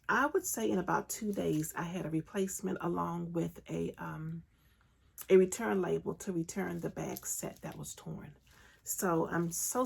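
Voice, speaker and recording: A woman hosting a crafting podcast, chatting casually with lots of enthusiasm and frequent ums, her voice raspy and congested from a recent illness. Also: medium pitch, a little mellow. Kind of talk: casual solo podcast chat.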